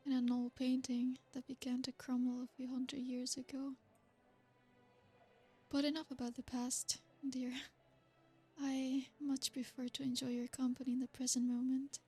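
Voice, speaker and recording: Ancient possessive vampire royal voiced by a woman: high, breathy, unhurried, seductive whispering ASMR tone. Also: heavily acted. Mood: intimate. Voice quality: breathy, soft-spoken. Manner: slow, seductive, drawn-out with whispered asides. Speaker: ASMR creator. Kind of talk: ASMR roleplay.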